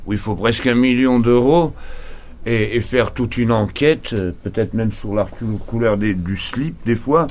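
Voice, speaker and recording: A man's voice: medium pitch, a little slow, darkish tone. A man who runs a laundromat, chatting casually in an interview, often stumbling and repeating words. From Portugal. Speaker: laundromat owner.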